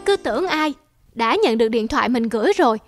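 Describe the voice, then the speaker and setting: Woman acting young female student, cartoon older sister, high voice, anxious and expressive, music behind. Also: a little fast, close to an everyday voice. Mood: irritated. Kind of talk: animated drama voice acting.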